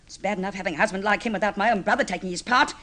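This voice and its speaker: A woman's voice: medium pitch, racing, fairly bright tone, lightly acted. A woman playing a shrewish, emphatic wife in an old-time radio drama. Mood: scornful, scolding. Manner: nagging, contemptuous, emphatic. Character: shrewish, scolding wife of a meek grocer. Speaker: radio actress. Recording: noisy old radio recording.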